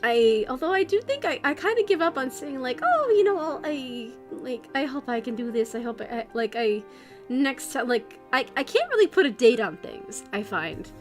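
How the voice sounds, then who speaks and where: A woman streamer chatting casually at her computer, rambling and restarting her sentences, her voice going hoarse. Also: high-pitched, very animated, normal speed, faint music behind. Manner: rambling, self-interrupting, many restarts. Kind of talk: casual livestream chat.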